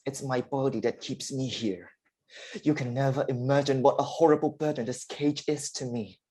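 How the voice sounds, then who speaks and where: A man playing a weary, dying father in a staged play reading, speaking deliberately and with open emotion. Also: medium pitch, fairly even intonation, dark tone, breathy edge, natural voice. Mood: anguished. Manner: slow, deliberate, emotive delivery. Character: dying, confined father, weary, pleading and confessional.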